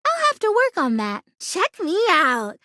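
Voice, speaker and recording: A woman's voice: extremely high pitch, wildly swooping pitch, unhurried, bright, forceful tone, no echo, clean studio sound, full character voice. A woman voicing a bubbly, scatterbrained young plastic houseplant in a video game, chatty and gushing. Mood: excited, friendly. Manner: gushing, chatty, easily distracted, exclamatory. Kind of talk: animated video game character acting.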